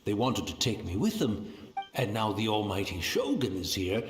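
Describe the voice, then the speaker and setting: A man voicing a gentle, humble elderly blind man in a video game, speaking formally and with serene resignation. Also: lowish voice, sing-song, normal speed. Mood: humble, calm. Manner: formal, humble, rambling speech. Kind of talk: scripted game dialogue acting. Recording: echoey hall, music behind.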